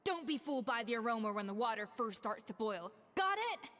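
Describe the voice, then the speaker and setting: A woman voicing a stern, perfectionist young head chef in a video game, with a brisk, scolding manner. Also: a little high, lively intonation, a bit bright, in a large hall. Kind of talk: anime-style video game voice acting.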